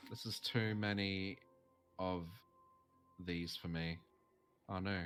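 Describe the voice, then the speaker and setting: A young man with an Australian accent chats casually with friends while playing a game on stream. His voice sits low and he talks in a relaxed way, filling gaps with ums and laughing easily. Faint game music plays underneath. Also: takes it slowly. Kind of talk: casual chat while gaming.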